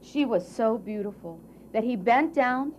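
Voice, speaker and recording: A woman narrating a children's fairy tale at a live puppet show, with dramatic, drawn-out phrasing that swells and hushes for emphasis. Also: on the high side, animated. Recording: dull, noisy live event recording.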